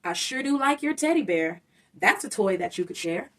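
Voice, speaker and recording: A woman voicing a kind, frank young creature girl in a children's storybook, gently and straightforwardly. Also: mid-range voice, expressive, moderate pace, distant mic, natural voice.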